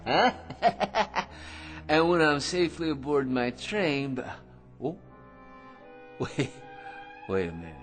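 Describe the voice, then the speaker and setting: Tipsy rambling blowhard, lively swoops. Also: male voice, on the high side, takes it slowly, pauses often. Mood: scheming, gleeful. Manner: rambling, stammering, wheedling, mock-polite. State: drunk. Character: tipsy, rambling, cheeky barroom blowhard man, self-amused schemer. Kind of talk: old-time radio drama acting. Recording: music behind radio drama dialogue.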